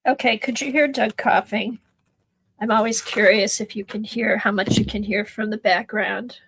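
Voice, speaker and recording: A middle-aged woman with a soft voice, chatting slowly and quietly while she works on an art journal during a livestream. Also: mid-range voice, fairly even intonation, creaky, breathy edge, low-quality recording. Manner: soft-spoken, slow, drifting into murmurs while working. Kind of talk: casual livestream chat while crafting.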